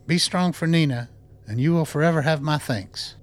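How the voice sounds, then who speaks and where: An actor playing a composed, principled doctor in a radio drama, speaking in a measured, clinical, matter-of-fact way. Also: male voice, a little high, natural voice. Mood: sober, reassuring. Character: composed, principled male doctor running a secret lab.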